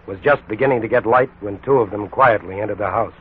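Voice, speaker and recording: An American man narrating a 1940s radio crime drama in a solemn, dramatic way with emphatic pauses. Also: fairly low pitch, husky. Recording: tinny 1940s radio recording, music behind. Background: music.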